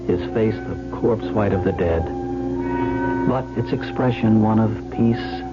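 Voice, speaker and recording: A male actor plays a wry young heir with a fairly low voice and a flippant ease. The radio drama recording is slightly muffled, with music behind. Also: measured pace, lightly acted. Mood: narrating. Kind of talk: radio drama acting with first-person narration. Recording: dull old radio recording.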